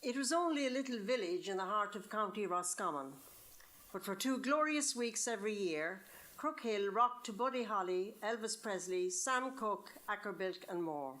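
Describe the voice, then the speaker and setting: A woman's voice: medium pitch, expressive, moderate pace, fairly bright tone, low-quality recording. A woman with an Irish accent reads her own short story expressively to a live event, as a storyteller would. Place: live reading event at an arts venue.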